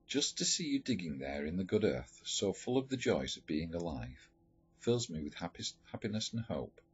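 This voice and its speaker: A man reading a letter story aloud in a measured, expressive storytelling manner. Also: low voice, breathy edge. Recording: dull lo-fi recording with music behind. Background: background music.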